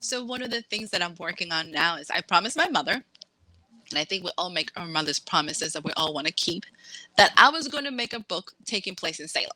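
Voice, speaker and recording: A woman from Massachusetts, an author being interviewed, talking in a lively way and laughing as she acts out quotes. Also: fairly low pitch, fairly quick, rough recording. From Massachusetts, USA. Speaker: author guest. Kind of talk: casual interview chat.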